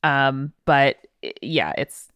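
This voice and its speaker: A woman co-hosting a podcast in casual chat, reading out listener mail in a playful, excitable way. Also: very deep voice, takes it slowly, clear, resonant tone, no room sound, lo-fi sound. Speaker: podcast co-host.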